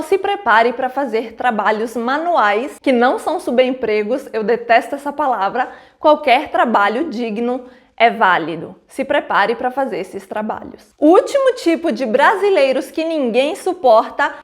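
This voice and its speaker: A woman speaks straight to her viewers with frank advice. Her voice sits high. She poses rhetorical questions and answers them firmly herself. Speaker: vlogger giving advice on life abroad. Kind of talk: direct advice vlog.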